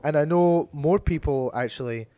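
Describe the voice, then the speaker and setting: Hoarse man rambling on a podcast. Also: a little high, normal speed, mellow, no room sound. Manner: meandering, hesitant, self-correcting. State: hoarse, losing his voice from illness. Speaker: podcast co-host. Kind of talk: casual chat.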